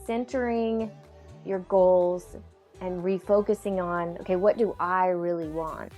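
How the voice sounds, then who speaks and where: A woman's voice: fairly low pitch, fairly even intonation, measured pace, mellow, faint music behind. Enthusiastic woman hosting a podcast. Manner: enthusiastic, encouraging. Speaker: podcast host. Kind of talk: conversational interview.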